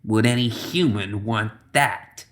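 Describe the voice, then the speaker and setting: A man voicing an ancient, bitter, scornful witch in a storybook, with a raspy, slow, spitting delivery. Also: on the low side, fairly bright tone. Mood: weary bitterness.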